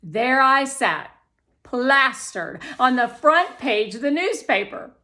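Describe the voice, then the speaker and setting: A woman reading a children's novel aloud with expressive, deliberate storytelling phrasing. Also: high-pitched. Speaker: audiobook reader.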